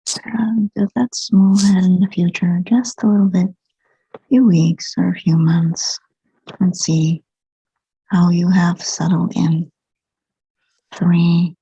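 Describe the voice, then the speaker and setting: Whispery, soft-spoken hypnotherapist woman. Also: lowish voice, breathy edge, no echo, highs cut off. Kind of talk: guided regression.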